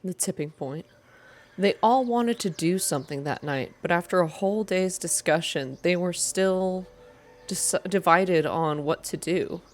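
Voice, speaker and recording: Low-pitched woman reading a story, ASMR style. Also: measured pace. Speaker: reader of a visual novel game. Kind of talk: soft ASMR reading, scripted story narration with voiced dialogue.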